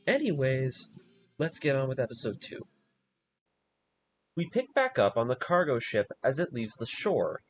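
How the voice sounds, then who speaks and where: A man's voice: medium pitch, big pitch swings, unhurried, pauses often, a little hiss or hum. A man narrating a scripted video review, moving between commentary and story recap.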